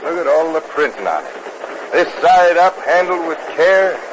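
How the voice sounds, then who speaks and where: Old western radio train guard, folksy n excitable, highish voice, muffled hissy recording. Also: male voice, normal speed. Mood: chatty, amused. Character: jovial express car guard in a Western. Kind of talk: 1950s radio acting.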